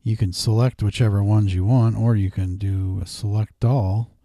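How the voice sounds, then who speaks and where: Man, lowish pitch, relaxed dark tone, dry close sound, informal step-by-step tutorial host. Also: moderate pace, no room sound. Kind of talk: casual screen-recorded software tutorial. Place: indoors at a desk.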